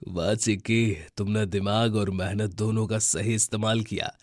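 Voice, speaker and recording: Man as a measured, wise cartoon animal elder. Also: on the low side, level tone, a little mellow, dry recording, clean studio sound, natural voice. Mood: approving. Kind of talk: children's cartoon voice acting.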